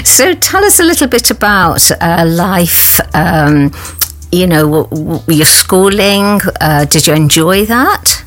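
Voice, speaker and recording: A woman's voice: fairly low pitch, very animated, slow pace, a bit bright. Woman radio host, encouraging interviewer. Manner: encouraging, lots of affirming yeahs and tag questions. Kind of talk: warm-paced radio interview.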